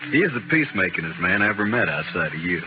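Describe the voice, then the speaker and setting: A sickly cool gunslinger, low and polite but dangerous, tinny old radio recording. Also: male voice, big pitch swings. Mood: wry, relaxed. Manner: dry, sardonic, unhurried politeness. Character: sickly, dangerous, coolly courteous male gunfighter. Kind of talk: 1950s Western radio drama acting. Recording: thin, hissy old-time radio recording.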